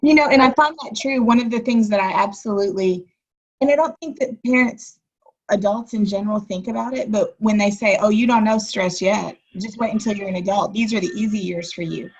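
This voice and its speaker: Host: young adult woman, husky, empathetic and encouraging, on muffled lo-fi audio. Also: mid-range voice, a little fast. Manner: empathetic, prompting, with soft affirmations. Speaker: podcast host, former educator. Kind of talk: casual interview talk. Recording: dull lo-fi remote audio.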